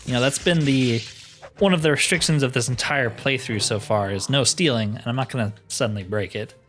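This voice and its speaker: A man's voice: medium pitch, brisk, fairly bright tone, faint music behind. A man giving casual, chatty let's-play commentary, often explaining himself in asides. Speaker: host of a gaming playthrough.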